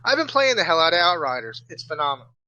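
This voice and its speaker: Male podcast panelist, higher than usual pitch, crisp bright tone, enthusiastic informal game talk. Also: very animated, fast talker, highs cut off. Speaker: games site editor-in-chief, podcast panelist. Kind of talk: casual enthusiastic game review chat.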